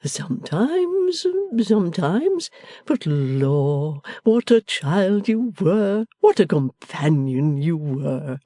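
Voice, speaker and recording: A woman reading the part of a timid, fond little father who speaks gently and hesitantly, rambling at times. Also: deep voice, very animated, slow, dry recording, clean studio sound, exaggerated voice. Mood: musing.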